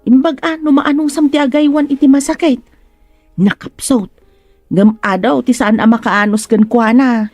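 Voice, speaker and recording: A woman's voice: high voice, fairly even intonation, measured pace, a little mellow, no room sound, soft background music. A woman with an Ilocano accent playing a timid, deferential housemaid in a radio drama. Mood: helpful.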